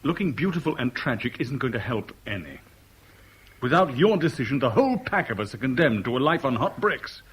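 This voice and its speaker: A man's voice: medium pitch, sing-song, a little fast, breathy edge. An actor playing a bitter, lovelorn man in a 1970s radio drama, sardonic and clipped. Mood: bitter, insistent. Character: bitter, lovelorn man caught in a love rivalry. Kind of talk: 1970s British radio drama acting with narration. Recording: noisy old radio broadcast recording.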